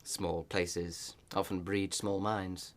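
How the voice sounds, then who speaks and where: An actor in a radio play playing a loyal village reverend, with a measured, eloquent and wry manner. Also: male voice, sits low, little pitch movement. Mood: concerned, wry. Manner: measured, eloquent, gently sly.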